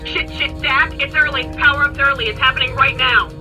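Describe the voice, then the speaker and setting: An actress playing a brisk, no-nonsense female military deputy in an audio drama, clipped and commanding. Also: sits high, steady tone, over loud music, close to an everyday voice. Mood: urgent.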